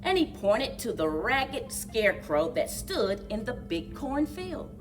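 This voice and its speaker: A woman reads a children's story aloud with a high, very lively voice. She takes her time over each phrase in an expressive, deliberate way. She sounds a little way off from the microphone. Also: far from the mic, over music. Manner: expressive, deliberate, sing-along encouragement.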